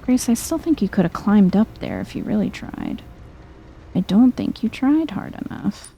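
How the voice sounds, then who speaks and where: A soft-spoken woman doing chill, playful ASMR game commentary, often close to a whisper. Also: mid-range voice, very animated, moderate pace, gravelly, slight background noise, low-quality recording. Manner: chill, playful muttering to herself. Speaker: gaming video host.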